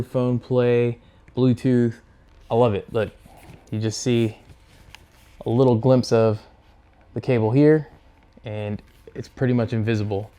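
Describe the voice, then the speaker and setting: A man's voice: medium pitch, dark tone, clear, resonant tone. A man narrating a hands-on install tutorial, talking casually and without hurry through each step as he works. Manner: unhurried, talks through each step while working with his hands. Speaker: host of a motorcycle gear install video.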